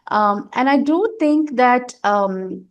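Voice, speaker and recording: A woman's voice: fairly high pitch, expressive, takes it slowly, clean tone, highs cut off. A middle-aged woman, a guest in a candid interview, talking at length with emphasis and frequent fillers. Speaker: interview guest, nonprofit governance advisor.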